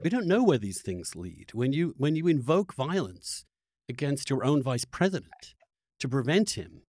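A man's voice: mid-range voice, sing-song, normal speed, darkish tone, no room sound, clean studio sound. A man arguing emphatically in a heated political debate, pressing his points and cutting in. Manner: emphatic, argumentative, interrupting. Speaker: podcast host debating a guest.

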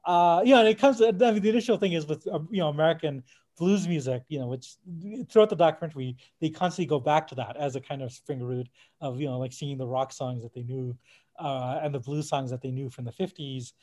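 A man's voice: on the high side, fast talker, a little mellow. A man on a podcast in casual, discursive discussion, hedging often with "like" and "you know.". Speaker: podcast speaker. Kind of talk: casual discussion of music history.

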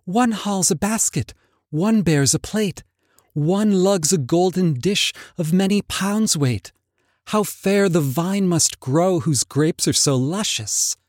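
A man voicing Laura, a curious young woman drawn toward forbidden fruit, speaking in raised, excited tones. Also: high-pitched, slow pace, dry recording, studio quality, voice pushed up. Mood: eager. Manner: excited, breathless urging.

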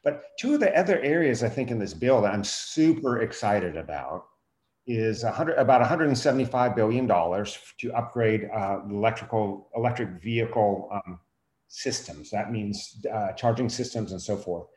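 A man's voice: lowish voice, lively intonation, moderate pace, a little mellow. A man giving his opinions in a casual discussion, conversational and emphatic. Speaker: podcast host, teacher. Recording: echoey room.

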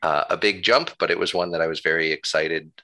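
A man's voice: low-pitched, steady tone, a little fast, sharp tone. A genial, good-humored man in his thirties, chatting casually as an interview guest. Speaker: interview guest, town clerk.

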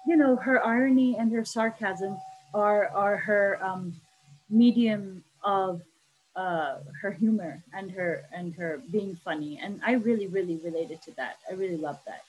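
A woman from Pakistan, a guest author who talks fast and often restarts her sentences in a casual interview. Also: medium pitch, darkish tone, slightly rough, dull audio. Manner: fast, restarting, stumbling over words.

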